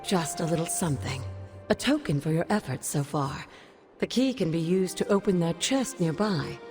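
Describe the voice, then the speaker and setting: A woman playing the ghost of an old witch mentor in a video game, doting, cryptic and teasing, in an unhurried way. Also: mid-range voice, lightly acted. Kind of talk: video game cutscene acting. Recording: music behind the voice.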